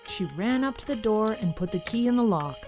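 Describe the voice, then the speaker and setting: A woman narrating a scripted children's story in a measured way with dramatic pauses. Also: on the high side, expressive, over music.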